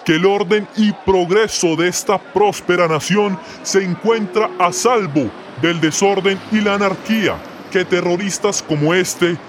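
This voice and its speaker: A man acts out a Colombian police colonel in a radio soap spoof. His voice is high and his manner is pompous and patriotic, full of stiff officialese. Clear traffic noise surrounds him. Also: a little slow. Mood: solemn, pompous. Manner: bombastic, formal officialese.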